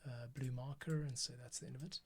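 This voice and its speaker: A man with a mid-pitched voice talks casually through an editing tutorial. He thinks aloud in short, muttered bursts, as a podcast host working at his computer would. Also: fairly even intonation, fast, a little hiss or hum. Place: at a computer workstation.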